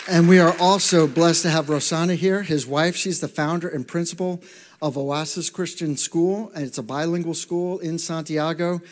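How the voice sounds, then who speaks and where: A man with a fairly high voice hosts a church interview, affirming his guest. Long reverb carries his voice around the sanctuary. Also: steady tone, moderate pace, some chatter behind. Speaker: church pastor. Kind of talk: introducing a guest.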